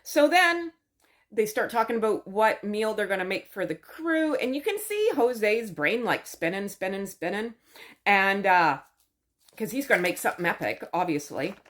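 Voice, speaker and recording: A woman's voice: mid-range voice, big pitch swings, moderate pace, fairly bright tone. A woman host giving a chatty, sarcastic recap of a show, full of wry asides. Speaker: channel host.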